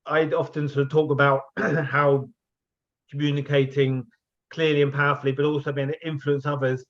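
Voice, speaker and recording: A man's voice: a little high, little pitch movement, fairly quick. A man hosting an interview, hesitant and fumbling, often repeating himself. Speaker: interview host. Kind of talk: casual interview. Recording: dull lo-fi sound.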